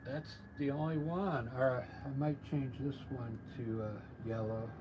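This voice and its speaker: A man with a slightly scratchy voice, softly talking through a painting he is working on during a live stream from his studio. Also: medium pitch, measured pace. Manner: soft-spoken, thinking aloud while painting. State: scratchy voice from singing and allergies. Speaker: artist livestreaming painting. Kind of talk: casual live painting commentary. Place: home art studio. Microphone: clip-on mic after plugging it in.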